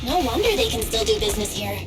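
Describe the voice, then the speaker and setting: A woman's voice: on the high side, big pitch swings, fast talker. A woman voicing a serious, businesslike young fighter in an anime game. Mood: focused. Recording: music behind the voice.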